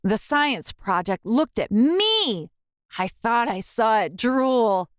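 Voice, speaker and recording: Animated, high-voiced woman reading a storybook. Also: takes it slowly, no room sound, clean studio sound. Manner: theatrical, storytelling delivery with emphatic rhyme. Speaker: children's book narrator. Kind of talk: expressive read-aloud of a rhyming picture book.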